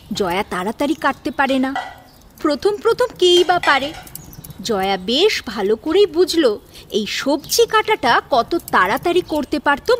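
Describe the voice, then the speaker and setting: A woman narrating a scripted domestic drama as a storyteller, with an expressive, dramatic delivery. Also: sits high, slight background noise. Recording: music behind the voice.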